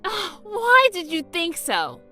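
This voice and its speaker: Very high-pitched, melodramatic anime teen girl. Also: wildly swooping pitch, slow pace, sharp tone, faint music behind, full character voice. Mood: fed up. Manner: melodramatic, sighing, stammering when flustered. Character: teenage girl, flustered, lovestruck, melodramatic anime romcom heroine. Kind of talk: anime-style manga dub voice acting.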